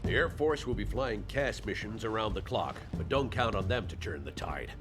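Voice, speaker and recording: A middle-aged American army colonel, acted by a man, grave and steadfast. His voice sits low and his manner is solemn, like a war game cutscene, with music playing clearly behind him. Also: lively intonation, normal speed, lightly acted. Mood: grave resolve. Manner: solemn, resolute. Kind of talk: military game cutscene acting.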